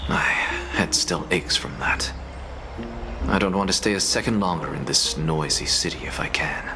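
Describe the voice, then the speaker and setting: A man's voice: low voice, moderate pace. An actor playing a proud, weary male scholar in a fantasy game, with a dry, haughty, sarcastic delivery. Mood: weary, disdainful. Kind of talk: scripted video game acting. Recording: game music playing behind. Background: game music.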